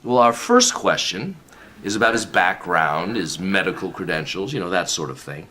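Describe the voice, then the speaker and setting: Breezy senior male commissioner man acting, animated and avuncular, radio sitcom dialogue with slight noise behind. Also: low-pitched, normal speed, exaggerated voice. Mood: breezy, reassuring. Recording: light background noise.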